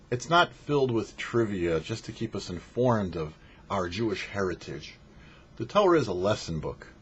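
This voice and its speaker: Male rabbi for short radio-style sermon; didactic, lively intonation, emphatic delivery, faint background noise. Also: fairly low pitch. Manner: emphatic, didactic, asks and answers rhetorical questions. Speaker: rabbi hosting a weekly Torah portion show. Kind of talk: short sermon, explanatory religious teaching. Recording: dull lo-fi audio with faint noise.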